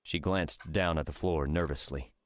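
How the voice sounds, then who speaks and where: A man narrating a scripted fiction audiobook in a measured storytelling manner. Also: a bass voice, fairly even intonation.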